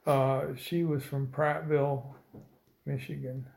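A man in his seventies from Indiana recalls his past in an oral history interview, pausing often on fillers. His voice is gravelly and stays level in pitch. The recording carries a roomy echo. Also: little pitch movement, a little mellow, a little hiss or hum. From Indiana, United States. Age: 70s. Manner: slow, hesitant storytelling with many fillers. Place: old building, a former dormitory.

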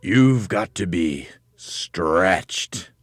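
A bullying old storybook husband, acted by a male reader, full of nasty glee. He taunts with heavy, drawn-out emphasis, his gravelly voice swooping widely. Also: low-pitched, animated, no room sound, exaggerated voice. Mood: sly commanding. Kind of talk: theatrical children's storybook reading.

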